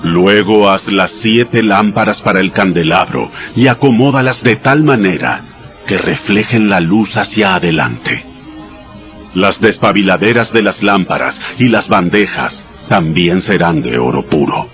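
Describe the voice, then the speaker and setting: A man playing God in a dramatized audio Bible, giving exact instructions in a solemn, measured, commanding manner. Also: low voice, lively intonation. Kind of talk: dramatized scripture reading. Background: music behind the voice.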